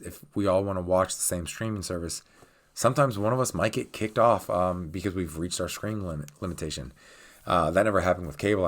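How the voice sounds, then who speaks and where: A middle-aged man hosting his channel, still raspy from a cold, rambling through a casual rant with lots of ums and uhs. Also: low voice. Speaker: channel host.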